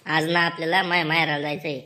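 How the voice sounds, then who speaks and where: A woman's voice: low voice, flat delivery, quick pace, noisy background, natural voice. A woman playing a bossy, nagging village wife in a comedy cartoon, with a rural Maharashtrian accent. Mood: defensive, bossy. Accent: rural Maharashtrian Marathi. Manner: nagging, scolding. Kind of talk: comedy cartoon dialogue in colloquial Marathi.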